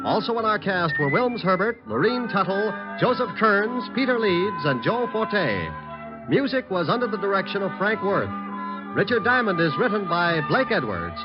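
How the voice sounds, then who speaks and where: Loud music runs under a dull old radio recording of an American male announcer. He is formal and emphatic, with a high, very animated delivery. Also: normal speed. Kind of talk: 1940s scripted radio announcing.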